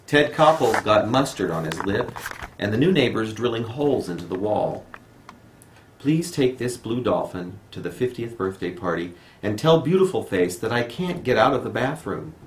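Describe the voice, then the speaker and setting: A man's voice: on the low side, expressive, normal speed. A man reading poetry aloud as a poet at a live home reading. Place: room in a home. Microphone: camera microphone, no dedicated mic. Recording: roomy echo, faint noise, amateur video.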